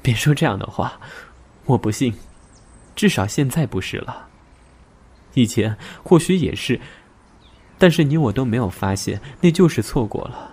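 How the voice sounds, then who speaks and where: A man's voice: medium pitch, lively intonation, normal speed, pauses often, darkish tone, husky. Reserved, wounded young man, played by an actor. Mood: quietly firm. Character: reserved, wounded young man setting terms to a former lover. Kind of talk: audio drama acting. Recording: music behind the voice. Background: music.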